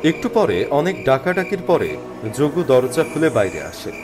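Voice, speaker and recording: A man narrating a scripted animated horror story in a dramatic storytelling style. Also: on the high side, normal speed, over music.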